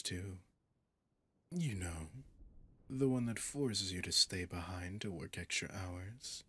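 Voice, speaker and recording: A man voicing a seductive, possessive, menacing demon in an ASMR horror roleplay, husky and often whispering, with a teasing, condescending drawl. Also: sits low, sharp tone, full character voice.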